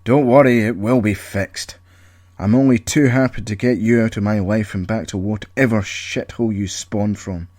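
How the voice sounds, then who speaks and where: A man's voice: on the low side, steady tone, normal speed, a little mellow, no room sound, lightly acted. Man acting snappish, secretive inventor, dry recording. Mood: sarcastic, angry. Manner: snappish, exasperated. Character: cross, secretive male inventor, irritable and protective.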